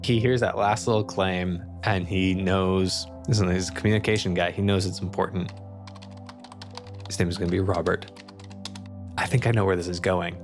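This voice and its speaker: A man's voice: low voice, fairly even intonation, normal speed, soft background music. A man acting as game master, casually improvising the narration of a tabletop role-playing game.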